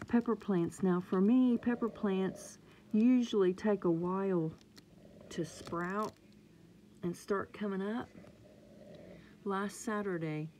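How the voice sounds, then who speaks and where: A woman chatting excitedly about her seedlings, sometimes dropping to a murmur, recorded on a handheld phone indoors. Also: mid-range voice, slow, pauses often, slight background noise. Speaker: gardening vlogger. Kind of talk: casual home gardening vlog. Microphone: phone camera held close.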